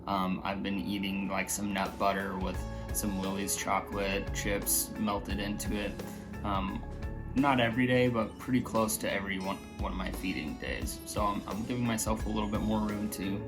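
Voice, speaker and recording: A man with a slightly raspy voice chatting casually in a vlog, hesitant, with many fillers and restarts. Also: on the low side, fairly even intonation, moderate pace, over music. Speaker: vlogger.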